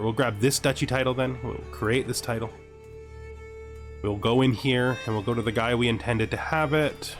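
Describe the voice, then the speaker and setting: A man doing casual gaming commentary as a let's play host, thinking aloud to himself as he goes. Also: fairly low pitch.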